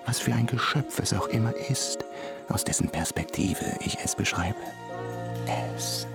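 A lowish male voice muses over music as a troubled, questioning diarist. The delivery is an introspective radio drama monologue. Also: measured pace. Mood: brooding, searching. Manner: musing, questioning. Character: brooding man recording his hybrid-creature diary thoughts.

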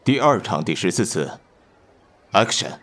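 A man's voice: low voice, big pitch swings, measured pace, fairly bright tone, full character voice. A man playing a bossy, exasperated film director in an audio drama, brusque and commanding. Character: bossy, exasperated male film director barking orders on set.

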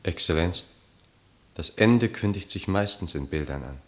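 An actor playing a deferential attendant to a dignitary in a German radio play, speaking in a formal, measured way. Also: male voice, low voice, animated, fairly bright tone. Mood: formal, grave.

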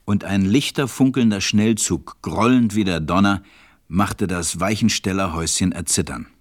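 A man playing a grave, weary stranded pilot who narrates a German radio play in a measured, husky, deep voice. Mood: grave, reflective.

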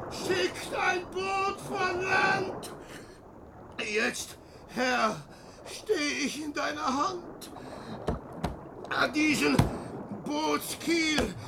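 A man's voice: very high-pitched, very slow, vocal fry, pauses often, noisy background, exaggerated voice. A man voicing a proud, fearful traveler at sea who blusters and breaks into frantic outbursts, in a classic radio drama.

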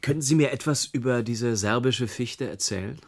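An actor in a German radio play playing a polite press reporter who asks attentive, probing questions. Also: male voice, medium pitch, moderate pace, lightly acted. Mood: polite, inquiring.

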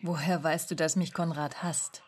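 An ambitious young woman journalist in a radio drama. Her voice sits fairly low. She is cool and self-assured, challenging whoever she talks to with skeptical confidence. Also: steady tone, moderate pace, darkish tone. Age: young adult. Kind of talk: German radio drama acting.

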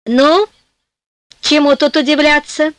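A clever, easygoing soldier, voiced by a woman reading a fairy tale, gives orders in a brisk, matter-of-fact way. The voice sits a little high and close to the mic, with a dry sound and no room around it. He is tired from the road but still sharp. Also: fairly even intonation, no echo. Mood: commanding. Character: bold, clever male soldier, weary and easygoing.

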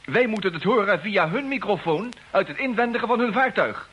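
A man acting an excitable, eager radio operator aboard a spaceship in an old radio play. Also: high-pitched, normal speed, breathy edge, muffled recording, exaggerated voice. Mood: excited. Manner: animated, eager. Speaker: radio drama actor. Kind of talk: mid-century Dutch radio play acting. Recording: old radio recording, faint hiss.

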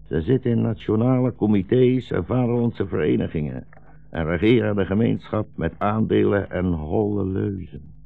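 An actor playing a weary, gentle old blind rabbi in a 1960s radio drama, speaking slowly and solemnly as a philosophical storyteller. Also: male voice, on the low side, vocal fry. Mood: grave. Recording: dull, roomy 1960s radio recording.

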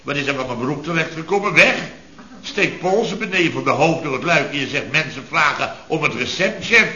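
A man's voice: a little high, animated, normal speed, sharp tone. An actor in a radio comedy playing an exasperated chef, blustering and indignantly repeating himself as he tells of disasters. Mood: indignant, dramatic. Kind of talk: radio comedy acting before an audience. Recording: echoey dull old radio recording, audience noise.

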